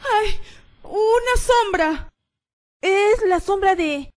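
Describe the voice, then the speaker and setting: Woman playing a timid, very high-voiced schoolgirl. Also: very slow, full character voice. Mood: frightened. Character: timid, easily frightened young schoolgirl. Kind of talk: radio drama acting.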